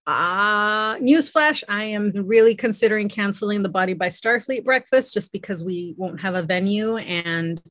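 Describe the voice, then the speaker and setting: A woman's voice: mid-range voice, normal speed, few pauses, a bit bright, no room sound. Woman chatting casually, dry sound. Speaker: fan event organiser. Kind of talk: casual chat with pauses. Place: online video call.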